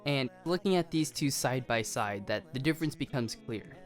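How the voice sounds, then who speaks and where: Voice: mid-range voice, animated, moderate pace. Conversational anime video essayist over music. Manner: conversational with self-aware asides. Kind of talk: scripted commentary on anime. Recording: music behind the voice.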